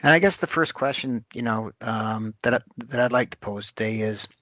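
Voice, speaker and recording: A man hosting an interview podcast, speaking haltingly with many pauses and fillers. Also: medium pitch, a bit bright, no room sound. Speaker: host.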